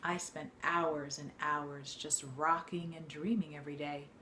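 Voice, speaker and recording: A woman with a notably low voice reads an autobiography aloud. Her delivery is measured and expressive, like a teacher telling a story to a class. There is faint noise behind her voice. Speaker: teacher reading aloud to students.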